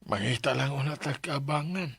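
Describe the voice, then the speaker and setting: A man acting a resigned, middle-aged father with a husky voice in a radio drama. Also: medium pitch, moderate pace, fairly bright tone, dry recording, studio quality. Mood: resigned, practical. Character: resigned, ailing father of a grown daughter.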